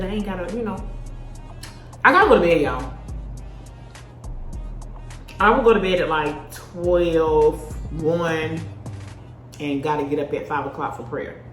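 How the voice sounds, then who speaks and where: A woman in her thirties chatting casually to camera, animated and personal, calling viewers baby and sis. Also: lowish voice, roomy sound. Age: 30s. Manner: talks while eating. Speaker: vlogger.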